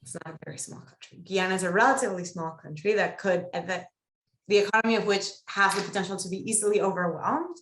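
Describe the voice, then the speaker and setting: Brief: lower-pitched woman, academic panelist, measured and analytical, echoey room. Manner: measured, analytical, thinks aloud.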